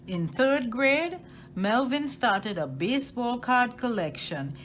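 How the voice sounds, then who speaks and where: A woman reading a children's picture book aloud expressively, with deliberate phrasing and emphasis on key words. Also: on the high side, a bit bright, a little hiss or hum. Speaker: storytime reader at a library.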